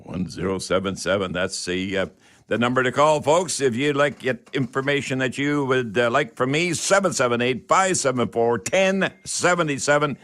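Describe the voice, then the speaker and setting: A male radio host speaks at a middling pitch. His delivery is folksy and rambling, full of hesitations, as he addresses listeners on a live call-in show. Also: normal speed, a bit bright.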